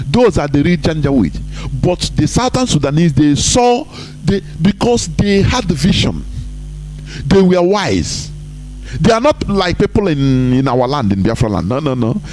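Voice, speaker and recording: A man hosting a live online broadcast, talking emphatically, rising high to stress points and dropping low for asides. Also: darkish tone, low-quality recording.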